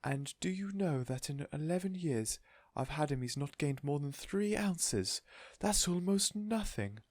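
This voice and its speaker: A man's voice: on the high side, animated, a little slow, darkish tone, no room sound, clean studio sound, pitched above the performer's own voice. A man voicing a sweet, gushing woman who dotes on her pet, in a storybook reading. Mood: fretting. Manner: gushing, effusive, exclaiming. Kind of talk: storybook character voice in audiobook reading.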